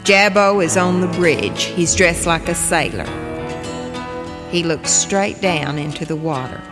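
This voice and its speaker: A narrator reading a scripted spoken-word story in terse, measured phrases. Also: mid-range voice. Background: music under the voice.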